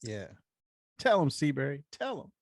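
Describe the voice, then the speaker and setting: A man's voice: on the high side, huge pitch swings, moderate pace, creaky, no echo, studio quality. A man with an American accent chatting in an easygoing, casual way as a podcast co-host. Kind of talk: casual chat.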